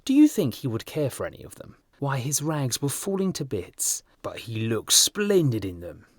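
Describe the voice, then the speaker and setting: Man acting an earnest, excitable young gentleman, lively pitch. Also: normal speed, no room sound, studio quality. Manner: indignant, plaintive, impulsive. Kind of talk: audiobook character dialogue.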